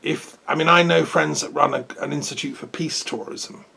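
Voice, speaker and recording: A man's voice: on the high side, steady tone, normal speed, creaky, pushed and bright, slightly rough. A man hosting an interview, asking rambling, friendly questions. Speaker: host. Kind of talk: casual interview.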